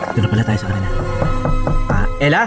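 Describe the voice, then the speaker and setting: A man's voice: fairly low pitch, expressive, quick pace, natural voice. A man playing a cheeky, teasing young drinking buddy in a Tagalog radio drama. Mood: breezy. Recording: music behind the voice.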